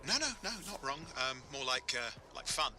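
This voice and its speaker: An actor playing a friendly, hesitant townsman in a sci-fi video game, speaking in a halting, casual way. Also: medium pitch, animated. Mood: friendly, awkward. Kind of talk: video game cutscene acting. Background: loud music.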